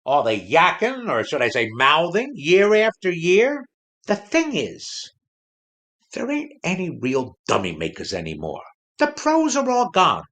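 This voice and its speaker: A man's voice: on the high side, huge pitch swings, a little slow, fairly bright tone, exaggerated voice. A man playing a disgruntled ventriloquist dummy, a sardonic showbiz veteran who gripes and wisecracks in a comic audio play. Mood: weary, wisecracking. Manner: griping, sardonic, emphatic.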